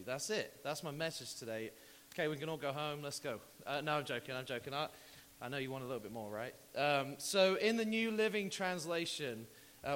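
A man preaching an informal church sermon as a pastor, conversational and emphatic, his voice slightly croaky from recovering from an illness. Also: medium pitch, a bit bright. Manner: conversational, hesitant asides mixed with emphatic repetition. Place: church hall, preaching to congregation. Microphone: church microphone. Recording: hissy, very reverberant hall recording.

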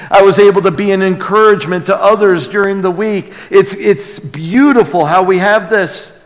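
A man preaching a sermon as a pastor, earnest and emphatic, moving between hushed lines and loud exclamation, with rhetorical questions to the congregation. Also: high-pitched, normal speed. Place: large reverberant room, preaching to a congregation. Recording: long hall reverb.

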